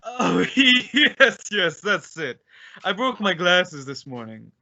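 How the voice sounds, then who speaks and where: A man acts out a blustering male school principal in an old-time radio comedy. His voice is piercingly bright and high, swooping about as he stammers with pompous indignation. The recording is dull and lo-fi. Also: sing-song, slow pace, gravelly, full character voice. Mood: nervously jovial.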